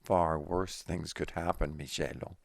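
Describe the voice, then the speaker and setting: Deep-voiced man reading as an encouraging, teasing woman friend. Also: steady tone, measured pace, vocal fry, dry recording, studio quality. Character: warm, optimistic woman friend, gently teasing and reassuring. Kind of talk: storybook dialogue reading.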